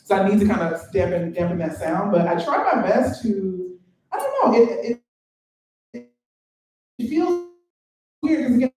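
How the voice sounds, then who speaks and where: A woman who is a poet and teacher, speaking on a live panel, excitable and quick to laugh as she tells stories. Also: mid-range voice. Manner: excitable, laughing, gesturing storyteller. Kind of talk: panel talk. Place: event venue, live panel. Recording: very echoey, distant from the mic.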